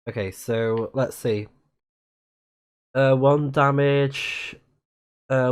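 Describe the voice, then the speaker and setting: A man's voice: medium pitch, smooth. A man giving casual let's play commentary, reading on-screen text aloud and murmuring reactions as he plays. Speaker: streamer playing a video game.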